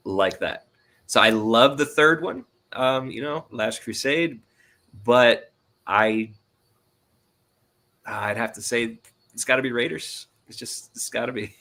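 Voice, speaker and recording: A man's voice: on the low side, fairly even intonation, slow pace, pushed and bright. A man chatting casually as an interview guest, hesitantly searching for his words. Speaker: interview guest, indie writer and sound designer. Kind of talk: casual chat.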